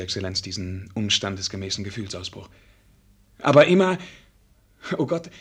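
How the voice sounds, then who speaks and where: An actor playing a melancholy young prince in a radio drama, weary of courtly formality and declaiming with theatrical bitterness. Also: male voice, on the high side, breathy edge. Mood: fervent. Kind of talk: German radio drama acting.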